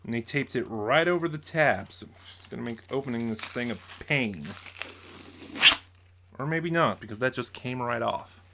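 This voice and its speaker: A man with a mid-pitched voice talks casually as a vlogger reviewing his purchases. He rambles through explanations and corrects his own wording as he goes. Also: animated, normal speed, creaky, very noisy. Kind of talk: casual unboxing chat.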